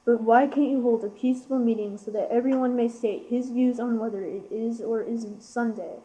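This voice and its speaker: A woman's voice: a little high, steady tone, moderate pace, mellow, slight background noise, natural voice, poor audio. A young teenage girl playing a prim, peacemaking village clergy figure in an amateur school radio play. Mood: reproving.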